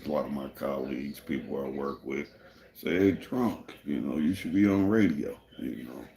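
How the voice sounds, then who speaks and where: A man from Michigan hosting a casual livestream, with a deep gravelly voice people call a radio voice, talking in a laid-back, rambling way. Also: normal speed, dark tone, reverberant. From Michigan, USA. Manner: laid-back and rambling, many "you know" fillers. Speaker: livestream host. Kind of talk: casual livestream chat answering viewers.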